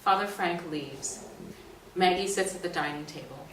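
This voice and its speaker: A woman's voice: lowish voice, moderate pace, fairly bright tone. A woman narrating scripted stage directions at a play reading. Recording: roomy, distant mic, faint noise.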